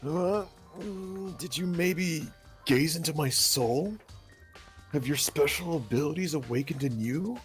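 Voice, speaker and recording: A man's voice: a little high, measured pace, soft background music, lightly acted. A man voicing a goofy, evasive young slacker who stammers, in an amateur video game dub. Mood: surprised, goofy.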